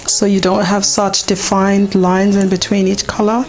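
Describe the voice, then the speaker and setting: A woman whose voice is hoarse and partly gone, in a mid-range pitch. She guides a beginner through each step of a painting in an easy, unhurried way, with frequent ums as she works. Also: level tone, low-quality recording. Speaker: painting teacher. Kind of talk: casual step-by-step painting demonstration.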